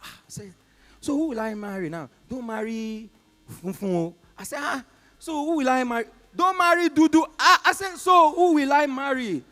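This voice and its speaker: High-voiced Nigerian comedian telling a story on stage. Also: male voice, animated. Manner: acts out callers and agents in quick put-on voices, loud exclamations. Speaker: stand-up comedian. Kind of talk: live stand-up storytelling.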